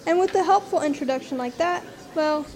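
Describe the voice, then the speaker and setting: An actress playing a sardonic, wry orphan child in a radio drama monologue. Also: female voice, high voice, brisk, a little mellow. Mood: bitter, sardonic.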